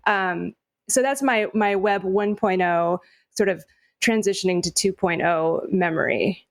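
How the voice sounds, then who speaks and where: A woman's voice: mid-range voice, measured pace. A middle-aged woman hosting a wine podcast, chatty and upbeat, laughing easily. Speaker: podcast host. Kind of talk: casual podcast chat and sponsor read.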